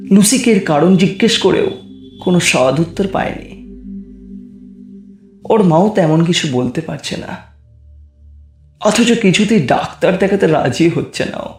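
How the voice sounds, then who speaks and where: Man acting earnest, worried gentleman in echoey room. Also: fairly high pitch. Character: worried young gentleman writing urgently to his friend. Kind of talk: dramatised letter reading in an audio horror story. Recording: roomy reverb, faint music behind.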